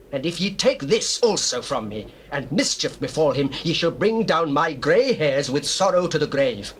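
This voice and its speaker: An earnest Hebrew brother pleading formally in a biblical audio drama. His voice rides high and moves in wide, theatrical swings. The old cassette carries a light layer of noise. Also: a bit bright. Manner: impassioned, declamatory, formal. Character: Judah, pleading Hebrew brother begging for the lad. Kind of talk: dramatized scripture, theatrical stage acting. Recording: noisy old cassette tape.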